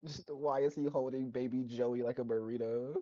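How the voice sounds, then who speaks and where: A man's voice: medium pitch, fairly even intonation, moderate pace, mellow, no echo, dull audio. A man co-hosting a show reaction, chatting casually in slangy, joking talk and laughing often. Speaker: co-host of a reaction channel.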